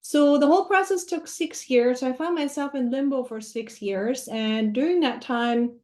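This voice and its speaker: A middle-aged woman from Germany, a native German speaker, telling her life story at an online open mic, measured and pausing often with fillers. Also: high-pitched, warm tone. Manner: measured, with frequent filler pauses. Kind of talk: personal story told at a networking event. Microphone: video call.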